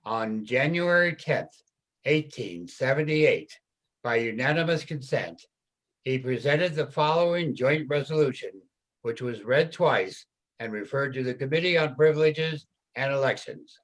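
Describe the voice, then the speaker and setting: A man's voice: mid-range voice, animated, measured pace, fairly bright tone, poor audio. A man with a mid-range voice narrates history from a script. He serves as the storyteller of a reader's theater production.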